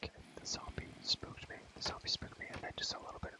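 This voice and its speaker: A young man whispering a casual, rambling ASMR gaming commentary, often repeating phrases and using relaxed slang. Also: fast. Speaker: ASMR video creator.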